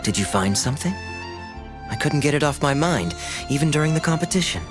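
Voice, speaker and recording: A suave young cavalry captain, acted by a man, in a medium-pitched, lively voice. He is courteous and playfully teasing in the polished style of game acting, with music clearly behind. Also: brisk, lightly acted. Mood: thoughtful. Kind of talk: animated video game voice acting.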